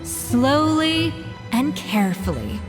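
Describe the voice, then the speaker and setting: A woman voicing a proud, exacting young female master chef in a video game, speaking with poised, deliberate precision. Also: high-pitched, wildly swooping pitch, heavily acted. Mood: stern, careful. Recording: long reverb, faint music behind.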